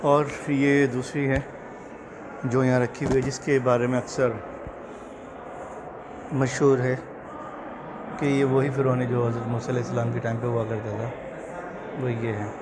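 A man's voice: mid-range voice, level tone. Man vlogging through a noisy museum. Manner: loose, halting guide talk pointing out exhibits. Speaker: travel vlogger. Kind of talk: casual walking tour narration. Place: large indoor museum hall. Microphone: phone held at arm's length with earphone mic. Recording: loud hall background noise.

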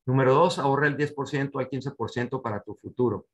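An older man with a mid-pitched voice teaches an online seminar as a coach. He addresses listeners directly, giving short instructions and posing rhetorical questions. He is heard through a computer microphone on a video call. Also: quick pace. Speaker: personal finance coach. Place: indoor room at home, on a video call.

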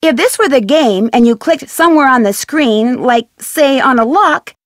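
A woman with an American accent voicing a friendly, inquisitive young amateur detective in a scripted video game, speaking in a chipper, clearly enunciated way. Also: high voice, lively intonation, moderate pace, no room sound, clean studio sound. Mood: chipper, instructive. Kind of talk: scripted video game voice lines and tutorial narration.

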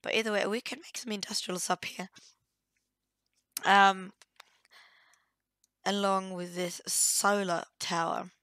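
Hoarse woman with a bright voice. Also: mid-range voice, steady tone, takes it slowly, long pauses, no room sound, studio quality. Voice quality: croaky from a cold. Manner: hesitant, thinking aloud. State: hoarse with a cold. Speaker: gaming video host. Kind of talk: casual let's play commentary.

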